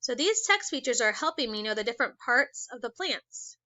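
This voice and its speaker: Woman teacher, bright and a bit high, reads a lesson aloud slowly and asks kids questions; dry, slightly dull recording. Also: sing-song, no room sound. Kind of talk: read-aloud lesson for children.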